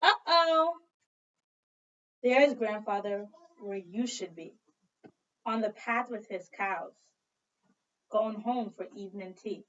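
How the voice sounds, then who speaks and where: A woman teacher doing an expressive read-aloud for children, telling the story dramatically and prompting listeners with questions. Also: medium pitch, slow pace, pauses often. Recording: dull lo-fi sound, distant from mic.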